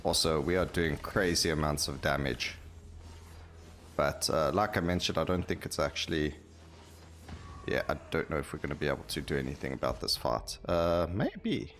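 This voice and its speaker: A man's voice: extremely low pitch, animated, moderate pace, a bit bright. A man giving casual gameplay commentary as the host of a gaming video.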